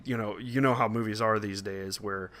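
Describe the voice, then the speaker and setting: A man's voice: on the low side, steady tone, moderate pace, fairly bright tone. A man co-hosting a casual podcast, conversational and thinking aloud as he goes. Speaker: podcast co-host.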